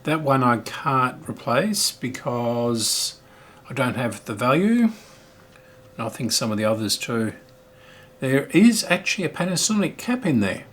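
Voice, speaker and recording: A man's voice: medium pitch, lively intonation, a little slow, a bit bright. A middle-aged man giving casual repair commentary as a hobbyist host, thinking aloud in a hesitant, musing way.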